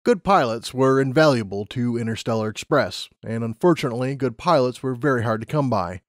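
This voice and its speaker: A man's voice: mid-range voice, fairly quick, no room sound, studio quality. A man narrating a scripted story as an audiobook narrator.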